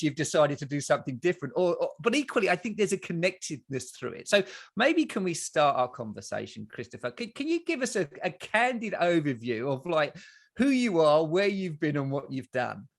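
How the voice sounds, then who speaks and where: A man's voice: fairly high pitch, big pitch swings, fairly quick. A man from Australia with an Australian accent, hosting a podcast in a rambling, enthusiastic way with many restarts. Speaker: podcast host. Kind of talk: casual interview chat.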